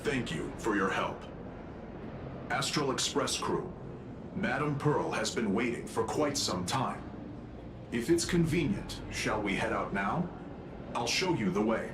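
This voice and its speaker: A man's voice: medium pitch, expressive, a little slow, long pauses, noisy background, lightly acted. A man voicing a polite, efficient corporate aide in a video game, formal and businesslike. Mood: formal, composed. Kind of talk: video game character dialogue. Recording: roomy echo, music underneath.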